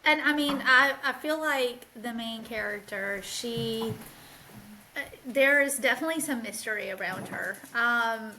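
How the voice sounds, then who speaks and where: A woman co-host speaks in a high, bright register. Her talk is casual and tentative as she offers guesses. Some noise sits in the background of the recording. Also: unhurried, rough recording. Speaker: book podcast co-host. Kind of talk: casual chat.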